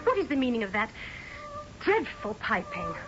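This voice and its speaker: An actress playing an imperious young British fiancée in a radio drama, clipped and theatrical. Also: female voice, fairly high pitch, wildly swooping pitch, moderate pace, music in the background. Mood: icily displeased. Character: haughty, imperious young English fiancée, exasperated by ghosts. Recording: dull vintage radio drama recording.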